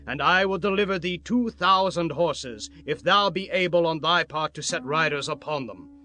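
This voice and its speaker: Man acting a bright, high-voiced, boastful Assyrian envoy. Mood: taunting. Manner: declamatory, taunting oratory. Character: boastful, threatening male Assyrian royal envoy. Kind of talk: dramatized scripture audiobook acting. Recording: music under the voice.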